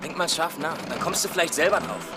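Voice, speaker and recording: A man's voice: on the high side, lively intonation, quick pace, close to an everyday voice. An actor playing an aggressive, taunting teenage boy in a radio crime drama, with a youthful, goading delivery. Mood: threatening. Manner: cocky, goading. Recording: music and traffic behind voice.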